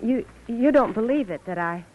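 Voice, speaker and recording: A woman's voice: fairly high pitch, lively intonation, normal speed, a little hiss or hum, lightly acted. Woman acting refined, lilting radio-drama lady, dull old recording. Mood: flustered. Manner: proper, demure, hesitant. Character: polite, refined, gently resisting well-to-do woman.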